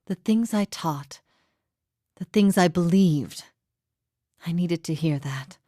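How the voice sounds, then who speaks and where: A woman voicing a grave, forgiving heretic teacher in a fantasy game, speaking in a solemn, measured, reflective way. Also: fairly low pitch, mellow, no echo, clean studio sound. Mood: wistful, resigned. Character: grave, forgiving female heretic teacher, long imprisoned, philosophical. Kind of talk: scripted fantasy game dialogue.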